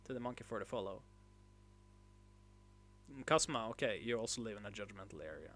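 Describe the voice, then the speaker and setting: A man from northern Norway, hosting a casual livestream and chatting with viewers in a halting way, full of filler sounds and restarts. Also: fairly low pitch, animated, fairly bright tone, slight background noise. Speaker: livestream host. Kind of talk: casual livestream chat, reading chat messages.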